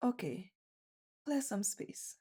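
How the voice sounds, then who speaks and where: A woman's voice: fairly high pitch, very animated, slow pace, warm tone, breathy edge, exaggerated voice. A woman playing a shy, skittish stray bunny girl in an ASMR roleplay, speaking in a hesitant, easily flustered way. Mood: bashful, hesitant.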